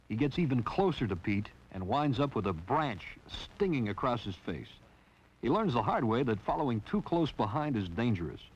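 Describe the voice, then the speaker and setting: A man's voice: mid-range voice, a little mellow, breathy edge. A man narrating a 1960s training film in a wry, teasing scripted style. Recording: dull lo-fi 1960s film soundtrack.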